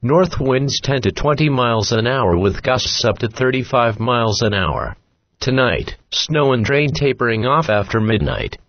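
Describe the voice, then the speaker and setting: A synthetic text-to-speech man's voice reading a scripted weather forecast as an automated radio announcer, in a flat, clipped, formulaic way. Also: medium pitch, a little slow. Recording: dull lo-fi weather radio recording.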